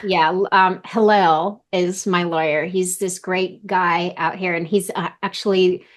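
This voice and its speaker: A woman's voice: on the low side, fairly even intonation, measured pace. A woman in her thirties, a vocal coach, chatting casually in an interview and repeating her words often.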